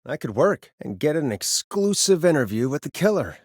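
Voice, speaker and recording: An actor playing a wry, quick-witted late-night radio host in a video game, with sarcastic, hesitant asides. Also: male voice, on the high side, sing-song, husky, no echo, clean studio sound. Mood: playful, wry.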